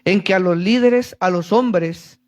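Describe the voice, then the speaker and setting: High-voiced preacher reading scripture. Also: male voice, unhurried. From Central America. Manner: reads scripture then explains, asks congregation for answers. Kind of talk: sermon.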